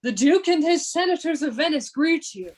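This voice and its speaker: Actress as noble envoy: high voice, formal, dignified courtesy, Shakespearean radio play. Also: female voice, expressive, moderate pace, a little mellow. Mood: courteous. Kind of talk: Shakespearean radio drama acting.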